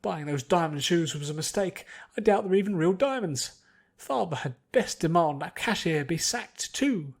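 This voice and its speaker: Man putting on a shrill, snooty young noblewoman. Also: animated, moderate pace, vocal fry. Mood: indignant, scornful. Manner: pretentious, haughty, demanding. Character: snooty, spoiled, nasty rich young noblewoman.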